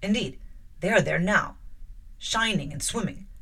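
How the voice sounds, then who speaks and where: A woman voicing a brooding, commanding middle-aged gentleman, speaking louder and more forcefully than her narration. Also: on the low side, measured pace, breathy edge, heavily acted.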